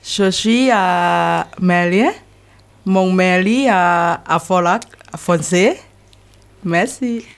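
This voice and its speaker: A woman introduces herself in broken learner French, pausing often between words. She speaks extremely slowly. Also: fairly low pitch. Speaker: language learner.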